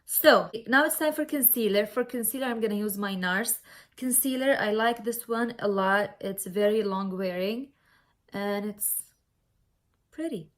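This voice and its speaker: A woman's voice: medium pitch, measured pace, a bit bright. Young woman with a Syrian Arabic accent. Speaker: beauty vlogger. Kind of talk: casual makeup tutorial chat.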